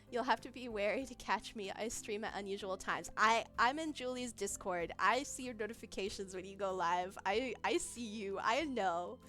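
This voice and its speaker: A young woman rambles through a casual livestream chat, giggling often and filling gaps with ums. Her voice sits at an ordinary middle pitch. Soft music plays faintly behind her. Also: moderate pace. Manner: giggly, rambling, many fillers. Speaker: streamer. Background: faint background music.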